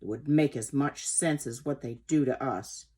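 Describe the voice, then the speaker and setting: A woman voicing a gentle but indignant old male horse in a storybook, speaking in an emphatic, aggrieved and dramatic way. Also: rumbling low, moderate pace, husky. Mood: mournful, aggrieved.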